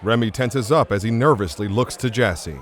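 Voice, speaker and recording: A man's voice: on the low side, a little fast, a faint crowd. A man giving dramatic scripted narration as the narrator of an audio drama. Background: music clearly underneath.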